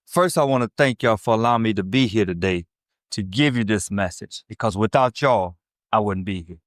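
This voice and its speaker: A man's voice: lowish voice, brisk, no echo, studio quality. A man in his fifties from Chicago's South Side, with a Chicago accent, giving a blunt, profane recovery testimony to a meeting, often checking in with "right?". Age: 50s. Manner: blunt, streetwise and profane, with frequent "right?" asides. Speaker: recovery speaker.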